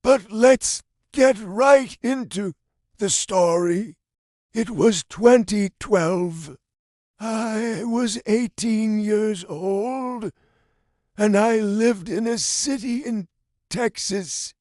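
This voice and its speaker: A man reading a viewer's horror story aloud as the channel's narrator, in a slow, drawn-out creepy manner. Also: high voice, darkish tone, no echo, studio quality.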